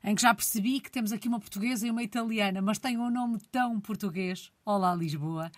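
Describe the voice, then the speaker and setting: A woman hosting an interview, who frames her questions at length in a measured way. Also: medium pitch, dry recording. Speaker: radio interviewer.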